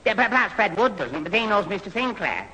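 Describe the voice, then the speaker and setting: A man's voice: high-pitched, very animated, fast, sharp tone, exaggerated voice. A man playing a dim, muddled but good-natured young lad who stammers and repeats himself in a 1970s radio sitcom. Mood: muddled, gormless. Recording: old hissy radio broadcast, roomy hall.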